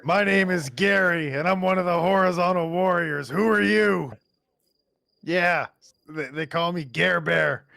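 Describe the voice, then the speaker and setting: Guy doing a puffed up boastful adventurer in a tabletop game, gravelly edge, real bright and forceful. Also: high-pitched, unhurried, dry recording, heavily acted. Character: boastful male adventurer introducing himself grandly. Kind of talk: tabletop roleplay.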